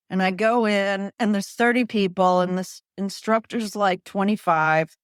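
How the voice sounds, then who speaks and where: A woman in her fifties, a pickleball coach, tells lively stories and laughs as she goes. Her voice sits in a middle pitch. The recording is dry and close, with no room sound. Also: dry recording. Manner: animated storytelling, laughs often, acts out her own quotes. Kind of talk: podcast interview guest.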